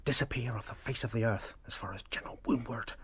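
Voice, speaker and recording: A man's voice: medium pitch, fast, in a whisper, close to an everyday voice. An actor playing a bold, determined male rabbit officer in a radio drama, assertive and persuasive. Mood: hushed, urgent.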